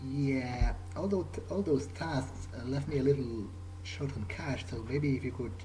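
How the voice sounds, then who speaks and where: A man's voice: medium pitch, normal speed, warm tone, slight background noise, poor audio. A man improvising in character during a video game playthrough, casual, hesitant and wry. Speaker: let's play gamer speaking in character.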